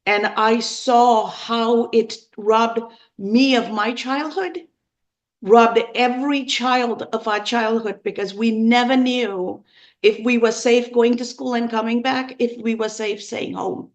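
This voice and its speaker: A woman in her fifties from Sri Lanka, telling her personal story as an interview guest in an earnest, emphatic, deliberate way. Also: a little high, fairly even intonation. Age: 50s. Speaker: podcast guest.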